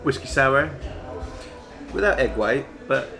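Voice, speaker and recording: A man's voice: medium pitch, lively intonation, slow pace, gravelly, crowd noise. A man from England with a mixed English and Maltese accent, chatting casually about food in a pub. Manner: chatty, laughs often, restarts sentences. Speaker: food vlogger. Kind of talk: casual chat. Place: pub dining area. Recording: music playing in the background.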